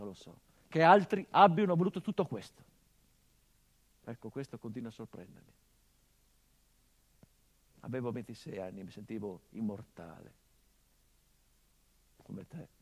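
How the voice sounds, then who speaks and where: Radio-drama monologue: a grieving son, Italian accent, middle pitch, brooding and low, with impassioned surges. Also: male voice, expressive, measured pace, long pauses, breathy edge. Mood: bitter. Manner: intense, builds to impassioned outbursts then drops low. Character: grieving grown son of a murdered man.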